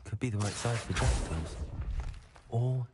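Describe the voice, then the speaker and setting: An actor playing a polite, helpful young student wizard in a video game, speaking courteously and often musing aloud. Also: male voice, mid-range voice, unhurried, loud music behind the voice, natural voice. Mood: musing, searching. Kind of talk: video game protagonist dialogue.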